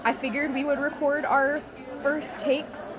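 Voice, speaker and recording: Over clear restaurant crowd noise, a woman with a slightly high voice chats casually. Her delivery is deadpan, like an informal review. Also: unhurried, muffled recording. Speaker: diner recording a field report. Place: busy restaurant, indoors.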